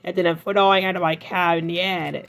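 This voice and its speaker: A man voicing a touchy, hurt male steam engine in a fan-made cartoon dub, shaky and prone to yelling. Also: flat delivery, moderate pace, vocal fry. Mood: distressed. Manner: shaky, high-strung, bursts into yelling.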